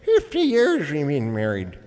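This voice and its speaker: A man's voice: a little high, wildly swooping pitch, a little mellow. An actor playing a folksy, grumbling old husband telling his story in a radio comedy. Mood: aggrieved. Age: older. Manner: rustic, drawn-out storytelling, grumbling. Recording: reverberant hall with faint noise.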